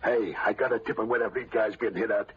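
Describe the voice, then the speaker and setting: A man playing a surly, dim ex-boxer henchman with rough, ungrammatical gangster slang in old-time radio style. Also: fairly low pitch, steady tone, fast talker, lightly acted. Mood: sly, greedy. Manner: rough slangy gangster talk, bad grammar. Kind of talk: 1930s-40s radio acting. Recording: dull, noisy vintage radio broadcast.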